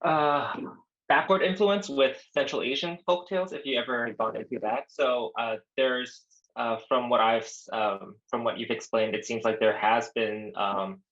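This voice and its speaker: A man from the audience asking a question in an online lecture, hesitant and halting. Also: medium pitch, fairly even intonation, fairly bright tone, highs cut off. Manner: hesitant, halting, many fillers. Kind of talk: online academic Q&A. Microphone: video call.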